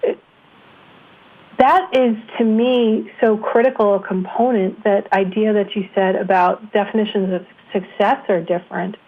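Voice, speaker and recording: A woman's voice: medium pitch, steady tone, measured pace. American woman hosting over a phone-like line. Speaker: interview show host. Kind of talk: conversational interview. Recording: thin phone-line sound.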